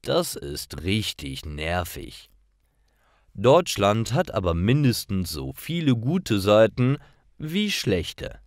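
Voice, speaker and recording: A man with a low voice reads learner stories as a narrator. He takes his time, enunciating each phrase, and his pitch moves expressively up and down. The recording is dry. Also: big pitch swings, takes it slowly, no room sound. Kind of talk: scripted storybook narration for learners.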